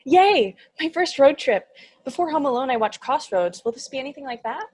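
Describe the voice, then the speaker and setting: Woman playing a high-voiced, childlike naive demon. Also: expressive, a little fast. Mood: eager, excited. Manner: innocent, earnest, sweetly matter-of-fact. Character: childlike, nervous, naive, eager demon from hell. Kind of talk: comedy script table read.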